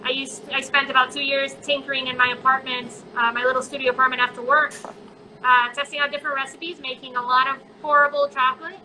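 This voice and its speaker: A woman's voice: high voice, level tone, fast talker, bright, forceful tone, a little hiss or hum, poor audio. A woman who grew up in Colombia, a chocolate maker chatting in an online interview, talking casually with many fillers. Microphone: phone on a live video chat.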